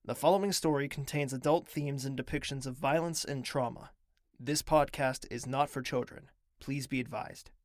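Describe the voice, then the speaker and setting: A man's voice: mid-range voice, fairly even intonation, normal speed, dry recording, studio quality. A man reading a scripted podcast advisory as an announcer.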